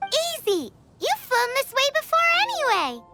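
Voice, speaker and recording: A woman's voice: extremely high pitch, wildly swooping pitch, normal speed, over loud music, exaggerated voice. A woman voicing a small, cheeky floating fairy companion in a game, with sassy, teasing quips.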